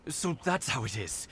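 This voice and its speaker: A man's voice: mid-range voice, a bit bright, a little hiss or hum. An actor playing a dutiful, thoughtful male retainer in an anime game, deferential and a little hesitant. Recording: game music behind the voice.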